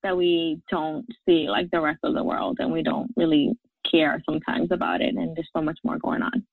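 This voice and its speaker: A woman's voice: low voice, steady tone, normal speed, vocal fry, no echo. Upbeat woman on a tinny phone line. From Puerto Rico. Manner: upbeat, laughs often, reflective. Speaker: podcast guest, life coach. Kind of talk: casual interview answers.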